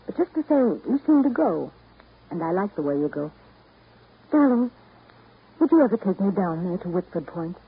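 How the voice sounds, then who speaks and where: An actress playing an affectionate, forthright sweetheart, teasing and coaxing in a 1930s radio drama. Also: female voice, fairly low pitch, big pitch swings, moderate pace, long pauses, slightly rough, heavily acted. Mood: teasing, curious. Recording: tinny, noisy 1930s radio recording.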